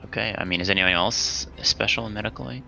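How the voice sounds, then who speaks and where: A man's voice: lowish voice, quick pace, vocal fry, sharp tone, soft background music, low-quality recording. A man playing a tabletop roleplaying game, chatting casually as he works out his moves.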